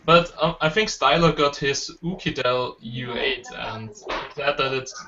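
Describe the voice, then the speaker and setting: A man's voice: mid-range voice, unhurried. A man hosting a casual online group chat about tech, speaking conversationally as the host. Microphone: online voice call. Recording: muffled online call, faint noise.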